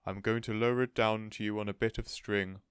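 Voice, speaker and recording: A man playing a shy, lovestruck gentleman who speaks politely and earnestly in a storybook reading. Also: lowish voice, steady tone, brisk, clean tone, dry recording, studio quality, lightly acted. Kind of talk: storybook character voice in audiobook reading.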